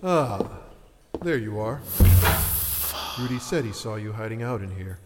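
A man's voice: fairly low pitch, animated, unhurried, over loud music. A man playing a stern, impatient restaurant boss in an audio drama, scolding and condescending toward his staff. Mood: irritated. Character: stern, exasperated male restaurant boss, older than his employee. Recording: long reverberant room echo.

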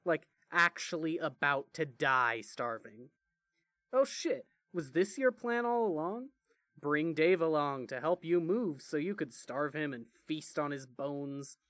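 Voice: mid-range voice, animated, slow, a bit bright, no echo. A narrator as a smirking, rambling young brother. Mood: casual, whiny. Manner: rambling, hesitant slang, melodramatic teasing.

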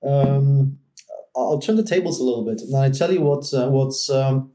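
Mellow Polish-accented man, measured podcast guest. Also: mid-range voice. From Poland. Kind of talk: conversational interview.

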